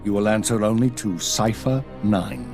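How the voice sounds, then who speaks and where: An actor playing a stern middle-aged spymaster of an empire in a video game, authoritative, clipped and measured. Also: male voice, fairly low pitch, natural voice. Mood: grave, commanding. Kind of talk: dramatic game voice acting. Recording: music behind the voice.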